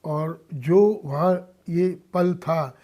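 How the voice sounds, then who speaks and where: A man with a fairly high, very mellow voice is being interviewed in a radio studio. He speaks earnestly in short, halting phrases and leans on his points with emphasis. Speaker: interview guest, industrialist and philanthropist. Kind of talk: earnest interview answers mixing Hindi and English.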